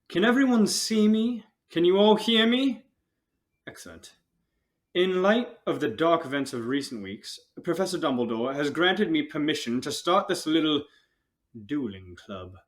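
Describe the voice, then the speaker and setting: A man's voice: fairly high pitch, a bit bright. A man playing a vain, showy wizard teacher in an audio drama, pompous and theatrical. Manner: pompous, theatrical, self-satisfied.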